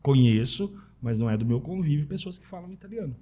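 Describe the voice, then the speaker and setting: A Brazilian man with a husky voice talking casually as a podcast guest, informal and anecdotal, often checking in with tag questions. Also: on the high side, expressive, fast, mellow. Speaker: podcast guest, Italian citizenship specialist. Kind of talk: casual conversational interview.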